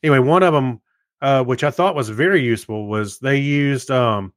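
A man's voice: medium pitch, moderate pace, no echo. Casual man with a close, dry sound. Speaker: podcast co-host. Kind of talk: casual chat.

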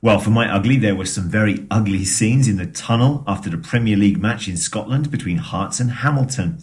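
A man's voice: on the low side, a little fast. A man co-hosting a football podcast, asking fans short questions with lots of uhs. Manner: friendly interviewer with frequent uh fillers. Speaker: podcast co-host interviewing fans. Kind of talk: casual interview.

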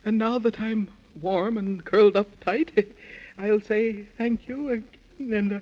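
A drowsy, polite little creature in an old children's radio serial. The voice is high and slow, halting and muddled, on a dull recording. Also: male voice, steady tone. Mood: sleepy. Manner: halting, trailing off.

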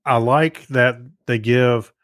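A man co-hosting a podcast, chatting casually about shows in a halting way, often searching for words. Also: medium pitch, steady tone, fairly bright tone, dry recording, clean studio sound. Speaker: podcast co-host.

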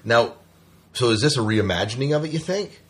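A man's voice: mid-range voice, lively intonation, a little fast, fairly bright tone, breathy edge. A man hosting a podcast, chatting casually through science fiction news and putting questions out in a conversational way. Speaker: podcast host. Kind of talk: casual sci-fi news chat.

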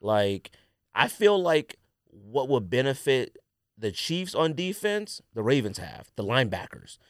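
American guy on a sports podcast, lively up and down pitch, slangy and opinionated, really dry sound. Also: measured pace, no echo. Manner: animated, opinionated, slangy talk. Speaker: sports and sneaker podcast co-host. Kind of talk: casual sports chat.

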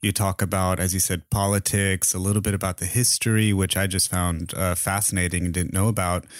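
A man hosting a podcast interview, speaking conversationally with halting, hedged phrasing. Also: low-pitched, no echo, studio quality.

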